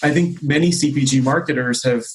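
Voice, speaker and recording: A man with a somewhat husky voice at an average pitch explains business ideas. He is conversational but measured, pausing mid-phrase and rewording his points as he goes. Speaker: company executive, interview guest.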